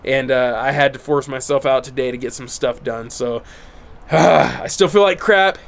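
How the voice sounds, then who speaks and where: A man's voice: mid-range voice, a little slow, vocal fry. A man hosting his own channel, talking casually to the camera outdoors, sounding stuffy and congested, and often checking in with "right?" and "okay?". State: stuffy, congested from burnt lungs. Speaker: YouTube channel host. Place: outdoors in bright sun.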